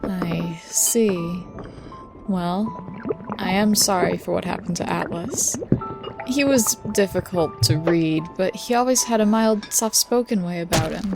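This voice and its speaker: A woman playing a composed, caring wife and former nurse cat in an audio drama, gently reproving in manner. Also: lowish voice, measured pace, vocal fry, over music. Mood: concerned.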